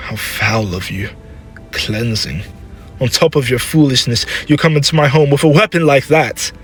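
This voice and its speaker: A man's voice: medium pitch, normal speed, breathy edge, soft background music, voice pushed up, close to an everyday voice. A man voicing an anguished, scolding mother of a grown warrior in an emotional, scornful manner for a dramatic story. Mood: disgusted.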